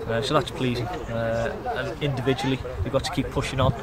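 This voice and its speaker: A middle-aged man, a youth football coach giving a measured, matter-of-fact post-match interview outdoors. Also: medium pitch, steady tone, over a noisy crowd. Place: outdoors beside a grass football pitch.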